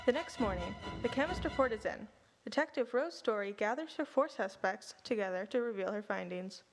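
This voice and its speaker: A woman narrating and announcing the credits of a radio play in a steady, formal way. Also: mid-range voice, a little fast, rough recording. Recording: music underneath.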